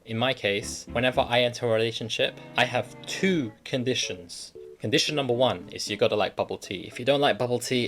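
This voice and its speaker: A man's voice: medium pitch, fairly bright tone. A young man who hosts an advice show, reading out a viewer email and then chatting casually about it, laughing easily and restarting his sentences often.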